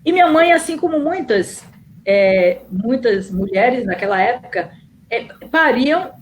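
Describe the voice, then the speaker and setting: A middle-aged woman, speaking in a slightly high voice, warm and reminiscent, pausing and restarting often. A faint hum of background noise sits under her voice on a video call. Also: animated. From Bahia, Brazil. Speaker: guest, nurse and city councillor. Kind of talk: casual interview chat.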